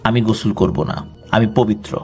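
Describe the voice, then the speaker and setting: A man's voice: fairly low pitch, moderate pace, warm tone, faint music behind, voice pushed down, natural voice. A man with a Bangladeshi accent voicing an arrogant, menacing jinn possessing a villager in a horror story, threatening and commanding. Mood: defiant.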